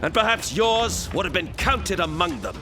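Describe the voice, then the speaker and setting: An actor playing a cold, proud, iron-hard warlord in an audio drama, deliberate and dismissive. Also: male voice, high-pitched, sing-song, over music. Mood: imperious. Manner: deliberate, imperious.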